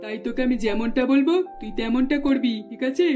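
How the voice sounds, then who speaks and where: A woman's voice: fairly high pitch, fairly quick, music in the background, close to an everyday voice. A woman voicing a middle-aged, scheming mother-in-law in a cartoon, coaxing and instructing.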